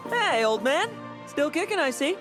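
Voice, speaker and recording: A woman's voice: sits high, sing-song, moderate pace, music in the background. A woman voicing a sly, breezy young knight in an anime game, with a glib, teasing manner.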